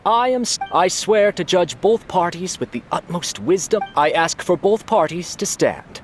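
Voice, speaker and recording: Casting: solemn game debate judge, formal announcer delivery with very lively intonation. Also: male voice, high voice, moderate pace, soft background music. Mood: ceremonious. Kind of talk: anime game voice acting.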